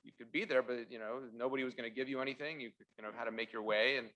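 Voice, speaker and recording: A man raised in Canada gives his opening remarks in a university debate, speaking as a constitutional lawyer. He stops often on "uh" and repeats his words as he goes, and he slips in dry, wry asides. His voice sits at an ordinary middle pitch for a man. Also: steady tone, rapid-fire, pushed and bright, low-quality recording. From born in Russia, raised in central Ontario, Canada. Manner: hesitant, heavy with "uh" fillers and restarts, dry wry asides.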